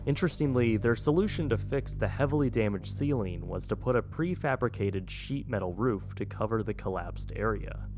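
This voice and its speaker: A man reading a measured, informative voice-over narration for an exploration video. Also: lowish voice, animated, darkish tone, soft background music.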